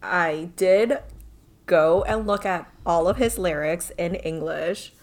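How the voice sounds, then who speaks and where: Woman podcast co-host, lowish voice, unhurried, chatty and reflective, filler-heavy. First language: not Korean. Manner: chatty, thoughtful, many fillers. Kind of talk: casual chat.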